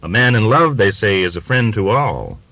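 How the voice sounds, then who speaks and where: A man's voice: on the low side, animated, fairly bright tone, dry recording, highs cut off. A man voicing a plump, middle-aged hustler in an audiobook, jovial and teasing, with a folksy, cajoling way of talking. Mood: wheedling. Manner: folksy, ingratiating, drawn-out cajoling.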